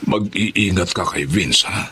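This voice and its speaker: A man's voice: sits low, slow pace, bright, forceful tone, a little hiss or hum, full character voice. A man acting a protective, gossipy older driver in a Filipino radio drama, with an emphatic, cautioning manner. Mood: disapproving, warning.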